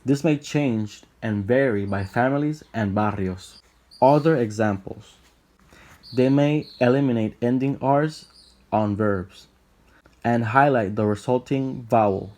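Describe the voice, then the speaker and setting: A young man from Puerto Rico with a Puerto Rican accent, narrating a scripted explainer in a steady, list-like way. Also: mid-range voice, measured pace. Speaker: channel host.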